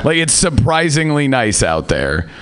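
A man's voice: mid-range voice, big pitch swings, measured pace, a bit bright. A young man from Seattle performing a stand-up set on a club stage, sardonic and laid-back, trailing off into filler words. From Seattle, USA. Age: young adult. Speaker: stand-up comedian. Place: comedy club stage, live audience.

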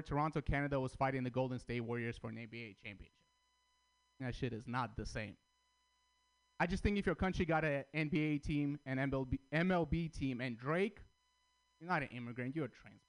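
A man's voice: fairly high pitch, a little fast, smooth, low-quality recording. A man from Armenia who grew up in Russia, doing a blunt, profane stand-up set at an open mic. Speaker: stand-up comedian. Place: comedy open mic venue.

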